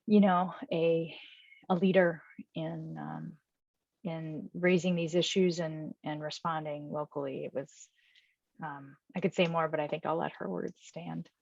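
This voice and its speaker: Low-voiced hesitant woman moderator. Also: vocal fry, no echo. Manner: hesitant, many fillers. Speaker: workshop moderator. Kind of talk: casual hosting. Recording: dull dry recording.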